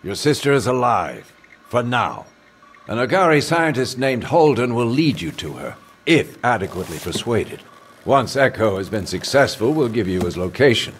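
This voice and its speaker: An actor playing a calculating commander in a video game cutscene, speaking in a measured, formal, quietly menacing way. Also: male voice, mid-range voice, very animated, slight background noise, close to an everyday voice. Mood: veiled threat. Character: calculating male commander offering veiled threats and deals.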